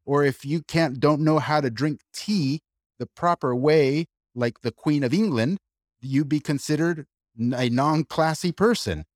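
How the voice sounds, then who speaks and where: A man co-hosting a casual discussion, arguing his point in an animated way with quick asides and restarts. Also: on the high side, dry recording, clean studio sound. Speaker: podcast co-host.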